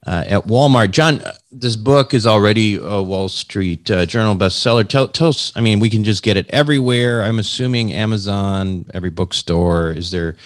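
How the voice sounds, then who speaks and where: Brief: man from Minnesota, podcast host, lowish voice, dry close recording, relaxed delivery. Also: no echo. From Minnesota, USA. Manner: relaxed, with frequent "uh" fillers. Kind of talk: casual podcast hosting.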